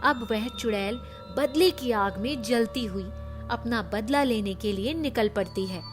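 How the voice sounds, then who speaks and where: A woman narrates a horror story in a fairly high voice. Her delivery is dramatic and measured, as a scripted storyteller, with music clearly playing behind her. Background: background music.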